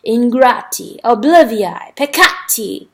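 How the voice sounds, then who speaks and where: A woman's voice: on the high side, expressive, takes it slowly, full character voice. A woman voicing a malicious, seductive river spirit in an audiobook fable, with a solemn, incantatory and lordly manner. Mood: accusing, bitter.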